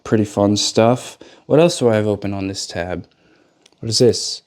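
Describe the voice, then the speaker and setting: Young male car vlogger, slightly sick and hoarse, loose joking delivery, handheld camera. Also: on the low side, moderate pace, darkish tone. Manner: loose and joking, addresses viewers as guys. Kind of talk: casual vlog chat. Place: home bedroom, then cold outdoor garage.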